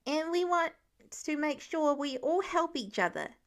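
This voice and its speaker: A woman's voice: high voice, expressive, unhurried, pitched above the performer's own voice. A woman voicing an earnest little boy who moralizes about kindness in a story.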